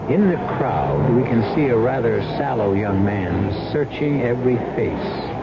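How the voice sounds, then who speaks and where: A man hosting a 1970s radio mystery with measured, dramatic scripted narration. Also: fairly low pitch, very noisy. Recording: dull 1970s broadcast with loud music.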